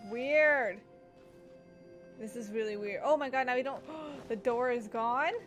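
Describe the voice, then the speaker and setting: A woman's voice: fairly high pitch, a little slow. A young woman giving casual playthrough commentary, reacting with exclamations and chatting to herself as she plays. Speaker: gaming video creator. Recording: game music in the background.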